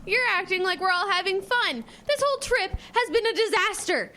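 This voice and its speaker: A woman's voice: extremely high pitch, animated, normal speed, pushed and bright, a little hiss or hum, heavily acted. A young female actor playing a worried, exasperated and responsible girl who pilots a boat in an audio drama. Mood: exasperated, upset.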